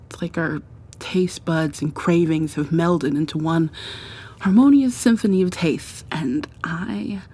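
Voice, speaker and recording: A woman playing an affectionate, playfully dominant girlfriend in an intimate ASMR roleplay, murmuring softly and breathily to the listener, often sliding into whispers. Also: a little slow, slightly rough. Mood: tender, doting. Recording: audible background hiss with low rumble.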